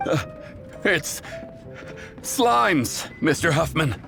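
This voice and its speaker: Panicky high-voiced young guard. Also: male voice, sing-song, drawn-out words, creaky, over music, heavily acted. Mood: anxious. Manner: alarmed, hesitant, deferential. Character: panicked junior male guard reporting to his superior. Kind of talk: anime video game voice acting.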